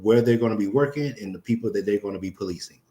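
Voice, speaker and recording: Low-voiced man stating his point firmly. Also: very fast, mellow. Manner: firm, repeats key points. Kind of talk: casual debate.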